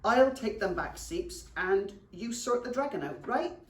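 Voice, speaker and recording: A woman's voice: mid-range voice, expressive, measured pace, lightly acted. Woman voicing a panicky young British male goblin. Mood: shifty. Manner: exclaiming, colloquial, dramatic. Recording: roomy echo, distant microphone.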